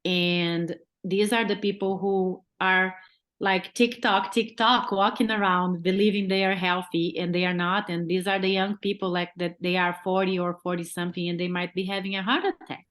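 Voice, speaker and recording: A woman's voice: on the low side, little pitch movement, normal speed, a bit bright. A woman doctor from Brazil with a Brazilian Portuguese accent, a guest on a podcast who explains step by step and keeps checking in with "right?". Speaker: guest physician.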